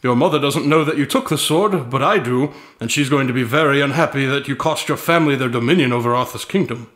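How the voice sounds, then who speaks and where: An actor playing an imperious, eloquent detective in a radio drama, with formal, florid diction. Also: male voice, medium pitch, fast, fairly bright tone, dry recording, lightly acted. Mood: coolly threatening.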